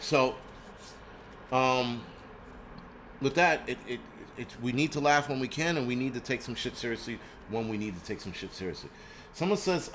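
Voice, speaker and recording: A man's voice: mid-range voice, fairly bright tone, a little hiss or hum. A man, hosting a casual live stream in a blunt, profane, rambling rant. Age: 40s. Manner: rambling with frequent restarts. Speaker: host.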